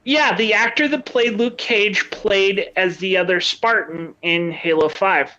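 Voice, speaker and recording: A casual podcast co-host recorded at home on a personal mic. The voice sounds bright and forward, but the recording is dull and lo-fi. They ramble a little, with frequent ums and restarts. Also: medium pitch, a little slow. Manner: hesitant, many ums and restarts. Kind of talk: casual chat. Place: home, with children in another room. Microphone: own home mic.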